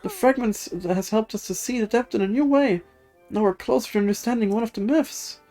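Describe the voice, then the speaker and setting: A woman voicing an excitable, curious researcher, reading video game dialogue aloud with eager exclamations. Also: fairly high pitch, fairly quick, faint music behind, pitched above the performer's own voice, lightly acted. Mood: excited, grateful.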